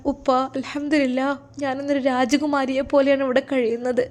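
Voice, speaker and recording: A woman's voice: high voice, level tone, moderate pace, a little mellow, pitched above the performer's own voice, natural voice. A woman voicing an anxious, remorseful young daughter in a story, with a Kerala Malayalam accent. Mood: timid, reassuring. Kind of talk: storytelling character voice.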